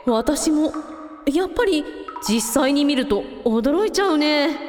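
A woman playing expressive, casual-talking members of a village family in a Japanese radio drama. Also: sits high, slow. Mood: surprised. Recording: long reverb with music behind.

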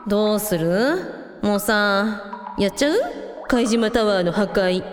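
A woman playing expressive, casual-talking members of a village family in a Japanese radio drama. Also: medium pitch, slow, close to an everyday voice. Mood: indignant. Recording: long reverb with music behind.

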